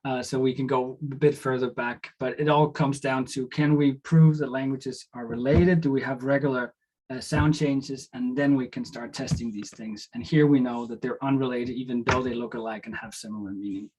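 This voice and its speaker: Man, Danish native speaker, academic lecture, hesitant, lots of restarts, ordinary pitch, video-call sound. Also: steady tone, normal speed, darkish tone, breathy edge, rough recording. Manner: hesitant, many fillers and restarts. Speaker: doctoral researcher lecturing.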